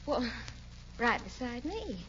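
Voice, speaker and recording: A woman's voice: sits high, very animated, slow pace, a bit bright, exaggerated voice. An actress playing a sweet, eager young woman with a coaxing manner, in 1940s radio comedy style. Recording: very noisy, muffled old radio recording.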